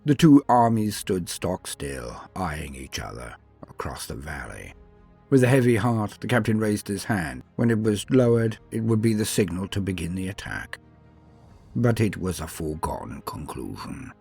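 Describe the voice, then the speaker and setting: A man narrating scripted stories in a dramatic, solemn and measured way, with emphatic swells and falling sentence endings. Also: low-pitched, expressive, creaky, a little mellow, husky. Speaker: narrator and host of a fan fiction lore channel. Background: music.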